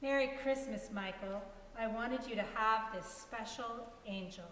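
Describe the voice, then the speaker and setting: A woman's voice: a little high, unhurried, a bit bright. A woman giving a dramatic reading of a story, voicing both narration and dialogue. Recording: echoey hall with faint hiss.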